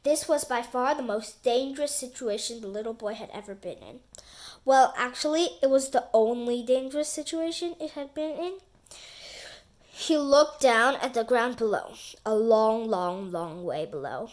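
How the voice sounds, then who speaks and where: Woman, high-pitched, children's storybook reader, expressive narration, deliberate, dramatic emphasis.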